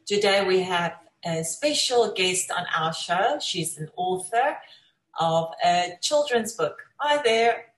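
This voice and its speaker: A woman's voice: on the low side, expressive, takes it slowly. A woman hosting a conversational interview show, speaking in an effusive, encouraging way. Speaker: talk show host. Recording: echoey room sound.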